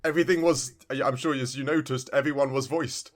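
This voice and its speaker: A man's voice: medium pitch, steady tone, brisk. Rambling man chatting casually. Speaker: podcast co-host. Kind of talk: casual chat about retro video games.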